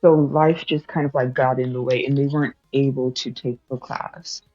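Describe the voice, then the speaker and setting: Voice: medium pitch, fairly even intonation, moderate pace, darkish tone, no room sound, dull audio, faint music behind. A young adult streamer chatting casually while studying, rambling, correcting themselves and laughing often.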